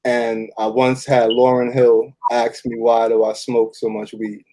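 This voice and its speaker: A man speaking casually as an interview guest, halting and repeating words as he gathers his thoughts. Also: medium pitch, monotone, mellow, low-quality recording. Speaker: interview guest, cast member. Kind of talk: casual conversation.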